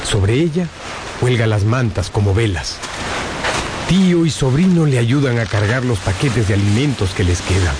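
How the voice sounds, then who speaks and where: A man's voice: medium pitch, expressive, moderate pace, noisy background. A man narrating a radio drama as a suspenseful storyteller, in short emphatic phrases. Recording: dramatic music behind the voice.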